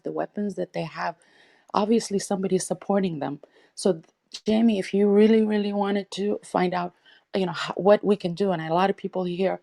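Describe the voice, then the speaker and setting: A woman's voice: on the low side, vocal fry, mellow, dry recording, studio quality. A woman born in Afghanistan and raised in the United States, speaking earnestly and urgently as a panelist in a casual audio chat room.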